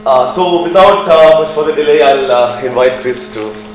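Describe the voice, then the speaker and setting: A man's voice: fairly high pitch, normal speed. A man introduces the speaker in a hesitant way full of fillers. He sounds far from the mic in a very echoey room, with a faint mains hum underneath.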